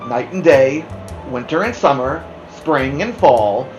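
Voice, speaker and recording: A woman reads a children's story with expressive delivery. Her voice is low-pitched but moves widely in melody, recorded in a roomy, echoing space. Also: slow pace, muffled recording, soft background music. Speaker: storybook reader, podcast host. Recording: roomy, echoing room sound.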